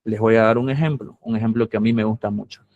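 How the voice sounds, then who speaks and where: A man's voice: on the low side, steady tone, fast, darkish tone, dry recording, highs cut off. Bolivian camba-accented male lecturer. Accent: Bolivian camba (Santa Cruz). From Santa Cruz, Bolivia. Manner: patient, explanatory, often checks in with students. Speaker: university lecturer. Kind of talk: classroom lecture.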